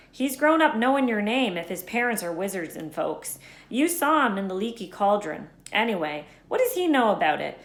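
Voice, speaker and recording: A woman voicing a gruff, big-hearted, blunt man for a children's storybook, with emphatic, folksy speech. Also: mid-range voice, fairly bright tone, voice pushed up. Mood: indignant. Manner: emphatic, folksy, dropped words. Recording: echoey room.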